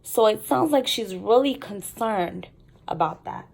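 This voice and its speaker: A woman's voice: medium pitch, expressive, measured pace, vocal fry, darkish tone, slightly rough. A woman teacher reading a story aloud to students and explaining it in an instructive way. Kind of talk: read-aloud storytelling with lesson commentary.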